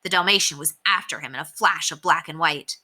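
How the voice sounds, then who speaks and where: A woman, a teacher, reading a children's chapter book aloud with expressive storytelling. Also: deep voice, a little fast. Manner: expressive storytelling with softer narration.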